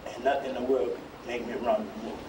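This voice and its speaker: A man's voice: medium pitch, little pitch movement, fairly quick. Guy sharing his life story, very colloquial, echoey and noisy, far from mic. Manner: colloquial, repeats "you know". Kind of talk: personal testimony. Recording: echoey room, distant mic, clear noise.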